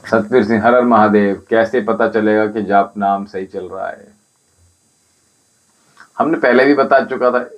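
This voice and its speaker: A man's voice: lowish voice, fairly even intonation. Man guiding viewers on a dull livestream. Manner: addresses viewers by name, measured and instructive. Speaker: spiritual teacher and Ayurvedic healer. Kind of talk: livestream answering viewer comments. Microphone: livestream setup.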